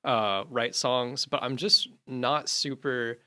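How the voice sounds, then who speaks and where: A man's voice: mid-range voice, steady tone, takes it slowly, bright, forceful tone. A young man, a band's drummer, talking casually as a podcast guest, rambling with many fillers and restarts.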